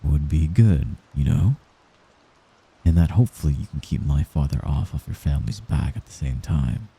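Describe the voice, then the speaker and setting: A man playing a young mafia heir in an intimate ASMR roleplay, a gentle, hesitant and possessive friend who gives orders apologetically. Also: very deep voice, vocal fry, slightly rough, exaggerated voice. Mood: earnest, cautious. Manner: soft-spoken, halting, apologetic while giving orders.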